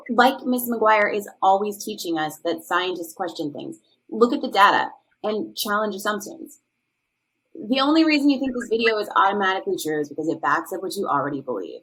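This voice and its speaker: A woman playing a skeptical, assertive high school student in a classroom drama, arguing in a reasoning, challenging way. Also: animated, brisk, a bit bright, slightly rough, rough recording. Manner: argumentative.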